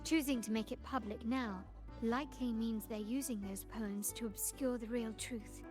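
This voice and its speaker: High, poised actress as a shrewd noblewoman. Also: fairly even intonation, normal speed, music in the background, close to an everyday voice. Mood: composed, shrewd. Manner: formal, composed, analytical. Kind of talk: scripted game voice acting.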